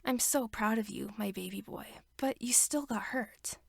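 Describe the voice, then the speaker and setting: A woman voicing a gentle, worried young mother who soothes her hurt son softly, sometimes in a whisper. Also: medium pitch, normal speed, vocal fry, no echo, clean studio sound. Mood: tender.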